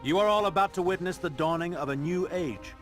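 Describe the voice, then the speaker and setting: An actor playing a grandiose, obsessive scientist in a video game, speaking in a grand, proclaiming manner. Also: male voice, sits high, brisk, close to an everyday voice. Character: grandiose male scientist showman, obsessive and excitable. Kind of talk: video game drama acting. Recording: loud music over the voice.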